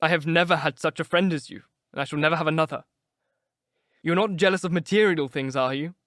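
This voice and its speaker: A man reading aloud, voicing a gentle, serious painter who speaks earnestly and pleadingly. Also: on the high side, brisk, no echo, studio quality, close to an everyday voice.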